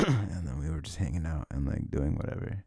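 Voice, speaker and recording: A young man talking in a casual, unscripted, intimate ASMR style, rambling and halting with a lot of "like", his gravelly voice often sinking toward a whisper. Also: no echo, clean studio sound. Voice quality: low, gravelly, breathy, often dropping near a whisper. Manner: rambling, halting, frequent "like", soft chuckles. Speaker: ASMR audio creator playing a comforting boyfriend.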